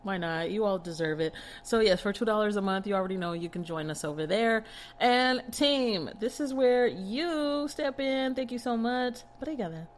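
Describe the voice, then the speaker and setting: A woman's voice: mid-range voice, expressive, normal speed. An American woman raised in Texas, hosting her own podcast and talking frankly and emphatically to her listeners about tarot readings. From Texas, United States. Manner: chatty, frank, emphatic, with light laughs. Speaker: podcast host and tarot reader. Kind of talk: casual monologue to listeners.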